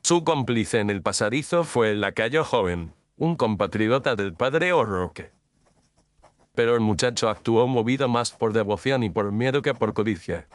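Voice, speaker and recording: A man playing a measured, incisive gentleman detective in an audio drama, speaking formally and deliberately. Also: medium pitch, expressive, no echo, close to an everyday voice. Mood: measured, firm. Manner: formal, deliberate, coolly accusing. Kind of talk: dramatized audio story acting.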